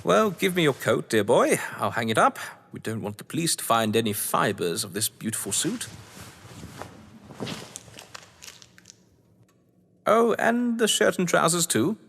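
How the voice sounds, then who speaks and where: An actor playing a whimsical, scheming crime-novelist lord of the manor, with a British accent and a pompous, florid delivery, in a stage-thriller audio drama. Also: male voice, fairly high pitch, very animated, normal speed, gravelly, few pauses, fairly bright tone, heavily acted. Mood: coaxing, amused. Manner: florid, pompous, playfully grandiose. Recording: long hall-like reverb.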